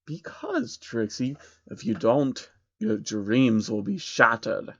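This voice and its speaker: A man playing a scheming billionaire madman with a deliberate, threatening manner of speaking. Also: mid-range voice, very animated, a bit bright, dull audio, exaggerated voice. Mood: menacing.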